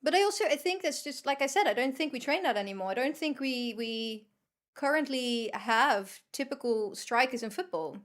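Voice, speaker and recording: A woman's voice: sits high, animated, normal speed. A woman with a Dutch accent chatting casually as she livestreams a game, with a blunt, sweary, teasing manner. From Netherlands. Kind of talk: casual chat while gaming.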